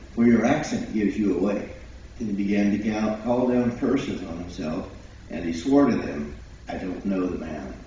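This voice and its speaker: A man's voice: fairly low pitch, mellow, a little hiss or hum. A man reading scripture solemnly as a church member at a service, recorded in a church. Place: large indoor church, distant mic. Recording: very echoey, distant, dull church recording.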